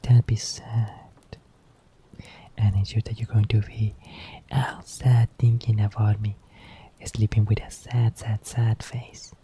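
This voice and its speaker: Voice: mid-range voice, flat delivery, slow pace, long pauses, a little hiss or hum. A streamer whispering an ASMR roleplay as a clingy, sleepy partner who coaxes someone back to bed. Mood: teasing. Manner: soft teasing whisper.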